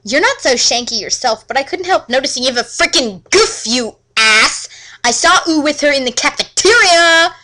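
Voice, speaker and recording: Vain, bratty teen heroine acted by a woman, high, exaggerated and melodramatic, for storybook parody. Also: lively intonation, moderate pace, sharp tone. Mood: indignant. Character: vain, hot-tempered teenage girl heroine, sassy and melodramatic.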